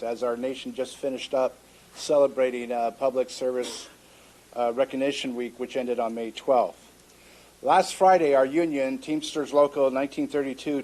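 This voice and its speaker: A man's voice: mid-range voice, darkish tone, low-quality recording. A man reading a prepared statement for his union during public comment at a city council meeting.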